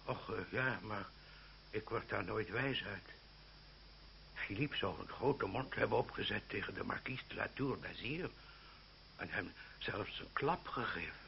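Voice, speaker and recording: A man's voice: fairly low pitch, expressive, a little slow, vocal fry. A man acting in an old radio play as a blustering older uncle, easily indignant, stammering when flustered. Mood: puzzled. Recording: muffled, slightly noisy old radio recording.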